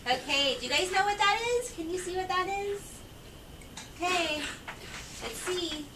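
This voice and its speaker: A woman's voice: very high-pitched, lively intonation, measured pace. A woman reading a picture book aloud to children and prompting her listeners with questions. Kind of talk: read-aloud storytelling to children. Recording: echoey, noisy room, far from mic.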